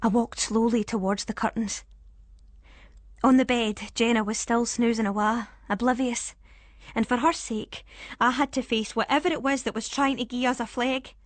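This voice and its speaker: A woman with a Scottish accent narrating a first-person ghost story in a suspenseful, expressive way. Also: on the high side, normal speed, no echo.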